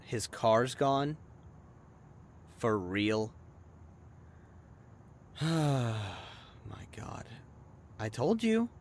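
A man's voice: medium pitch, very animated, extremely slow, pauses often, a little hiss or hum. A man playing a brash, chatty young adult friend in a conversational roleplay audio drama, talking bluntly and casually. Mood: scornful.

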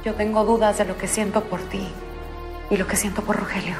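A woman's voice: medium pitch, little pitch movement, brisk, natural voice. A woman playing a torn, earnest young woman in a telenovela. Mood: earnest, hesitant. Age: 20s. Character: torn, earnest young woman confessing her divided heart. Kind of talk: telenovela acting. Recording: music or ambience behind dialogue.

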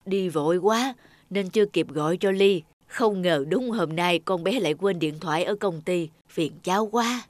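A woman voicing a kindly, self-effacing middle-aged mother in a cartoon, gentle, grateful and reassuring. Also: fairly low pitch, normal speed, dry recording, studio quality, close to an everyday voice. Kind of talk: cartoon dialogue acting.

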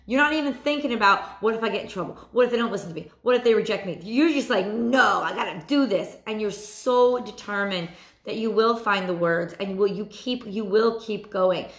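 A woman in her thirties with a hoarse voice, giving a chatty weekly tarot reading to a webcam at home. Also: medium pitch, a little fast, roomy sound. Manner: animated, repeats phrases, chatty asides. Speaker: tarot reader. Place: small room at home.

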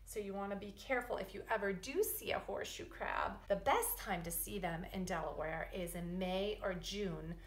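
A middle-aged woman, a preschool teacher, explaining and reading aloud to young children in a deliberate, emphatic, teacherly way. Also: medium pitch. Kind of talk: children's science lesson and read-aloud story. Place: indoors in a wood-panelled room at home or school. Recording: echoey room.

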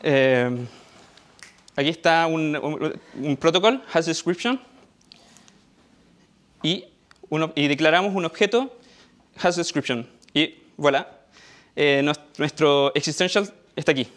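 A man's voice: a little high, animated, unhurried, long pauses, a bit bright. A young Chilean man with a Chilean Spanish accent giving a tech conference talk on stage, hesitant, with many fillers and restarts. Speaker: conference speaker. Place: dark auditorium stage.

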